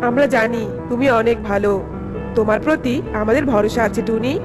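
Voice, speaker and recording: Cartoon eagle courtier, female performer: measured, reassuring, somewhat high, over music. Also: lightly acted. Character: courteous, dignified eagle royal minister. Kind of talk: children's cartoon character dubbing. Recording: music behind the voice.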